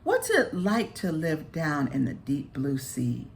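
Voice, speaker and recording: A woman's voice: low-pitched, wildly swooping pitch, slow, gravelly, a little hiss or hum, voice pushed down, full character voice. A woman voicing a small, timid and curious female butterfly in a children's storybook, exclaiming and asking eager questions. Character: curious, timid little pink butterfly girl.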